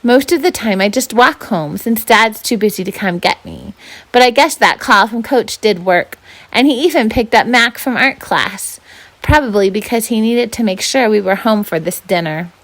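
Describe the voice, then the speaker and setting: A woman volunteer reading a children's novel aloud in an expressive, first-person storytelling style. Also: on the high side, normal speed, rough recording.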